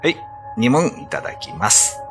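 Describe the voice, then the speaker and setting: A man's voice: mid-range voice, big pitch swings, normal speed, over music, lightly acted. A man playing a suspicious, easily startled candy shopkeeper in a radio drama. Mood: startled, polite.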